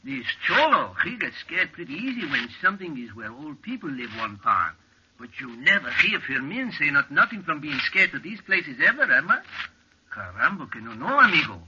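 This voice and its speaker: An actor playing a frightened, stubborn desert helper in a 1940s radio drama, speaking emphatic broken English mixed with Spanish in a Mexican accent. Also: male voice, fairly high pitch, very animated, moderate pace, background noise. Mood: wary, adamant. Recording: muffled vintage radio recording.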